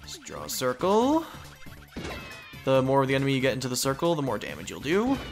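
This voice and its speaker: A man's voice: mid-range voice, wildly swooping pitch, brisk. A man hosting a let's play with casual, goofy, rambling gameplay commentary. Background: video game music.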